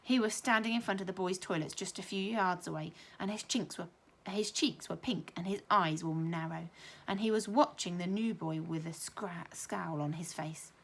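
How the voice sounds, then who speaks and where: A woman's voice: moderate pace, vocal fry. Woman reading a storybook aloud. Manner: lively storybook reading, higher and louder for children's lines. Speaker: primary school teacher. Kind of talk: reading a children's novel aloud.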